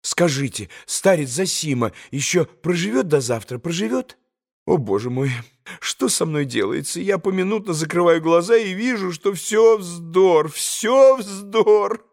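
A man's voice: high-pitched, animated, measured pace, creaky, voice pushed up, exaggerated voice. A man reading an audiobook and voicing a flustered, gushing middle-aged noble mother who speaks in dramatic exclamations. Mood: gushing, distraught. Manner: breathless exclamations, dramatic and fussy.

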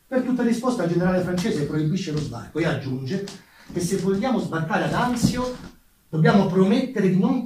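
A man's voice: high voice, noisy background. A man playing a proud, defiant Lombard army major in an emphatic theatrical monologue. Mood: resolute. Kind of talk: theatrical first-person monologue. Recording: roomy stage sound, distant mic, music.

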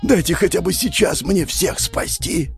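A man's voice: medium pitch, sing-song, measured pace, vocal fry. A man voicing a desperate fantasy hero in a dramatic audiobook, pleading and crying out in strained, frantic outbursts over music. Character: desperate male hero Valir, begging and screaming to save others.

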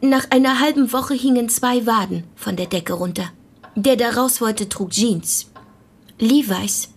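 A woman's voice: on the high side, measured pace, slightly rough. A woman playing a wry, tart parish deaconess, a pointed and somewhat evasive witness in a radio play. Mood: confiding, wry.

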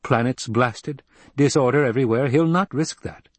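A man's voice: mid-range voice, normal speed, no echo, voice pushed up, natural voice. A male narrator voicing a proud young nobleman who speaks with commanding force. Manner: imperious, forceful delivery.

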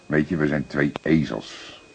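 An actor playing a seasoned, calm police detective in a radio drama, measured, persistent and dryly matter-of-fact. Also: male voice, rumbling low, creaky, a little hiss or hum, heavily acted.

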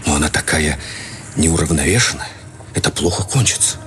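An actor playing an earnest, worried priest in a radio play, mild-mannered, hesitant and admonishing. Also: male voice, a bass voice, a little fast, fairly bright tone, a little hiss or hum. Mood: conciliatory.

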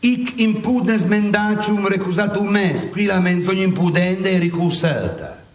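Boastful old-church friar saint: high voice, sarcastic oratory, satirical theatre, echoey distant stage recording. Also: male voice, unhurried. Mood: accusing, sarcastic. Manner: declamatory, sarcastic, mock-courtly oratory. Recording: echoey stage, distant mic, faint noise.

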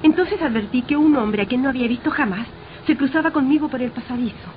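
Insistent, suspicious young wife, radio drama; fairly high voice, muffled vintage recording. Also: female voice, lively intonation, quick pace. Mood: secretive, excited. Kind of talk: old radio drama acting. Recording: dull old radio recording, faint noise.

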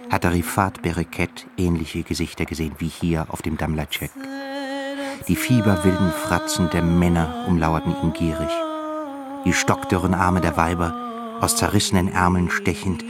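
A man narrating a literary radio play in a grave, measured manner. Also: low voice, steady tone. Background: music.

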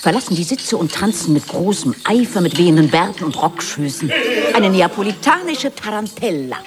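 A woman's voice: fairly low pitch, very animated, moderate pace. A woman reading stage directions as the narrator of a radio play in a dramatic scripted style.

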